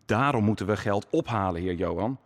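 An actor playing a young, confident prince and army commander, assertive and dismissive, in a radio drama. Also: male voice, fairly low pitch, expressive, a little fast, creaky. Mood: impatient, assertive. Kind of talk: Dutch radio drama acting.

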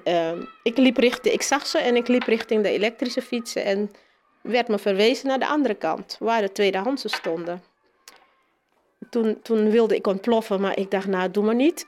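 A woman's voice: fairly high pitch, darkish tone, slight background noise. A woman, a teacher, giving spontaneous interview answers with hesitant, repeated phrasing.

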